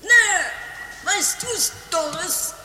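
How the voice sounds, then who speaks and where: A woman's voice: very high-pitched, drawn-out words, pushed and bright, full character voice. A woman voicing a brash, impatient, defensive young man in an amateur comedy radio play. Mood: blunt. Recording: reverberant cave echo with music.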